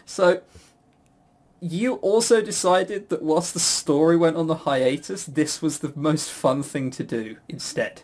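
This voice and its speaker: A man's voice: fairly high pitch, measured pace, a little mellow. A man hosting a casual quiz, chuckling and teasing as he banters. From a Western country. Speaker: quiz host.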